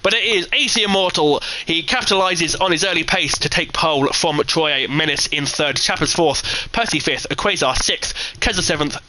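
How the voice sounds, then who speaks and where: A man from the UK commentates a live esports race with a very bright, cutting voice sitting a little higher than most men's. He calls the action with energy, leaping up when the lead changes. Between calls he chats loosely with viewers, full of ums and asides. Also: moderate pace, hardly any pauses, a little hiss or hum, rough recording. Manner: energetic, jumps high in excitement at big moments; chatty, many fillers, reads and answers live chat. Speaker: sim racing commentator.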